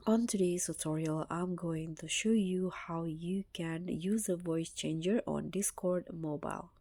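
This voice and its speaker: A woman with a slightly low, mellow voice guides listeners through a tech tutorial step by step. Her phrasing is casual and a little hesitant. The recording is close and dry, with very little room sound. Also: unhurried, dry recording. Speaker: tutorial host.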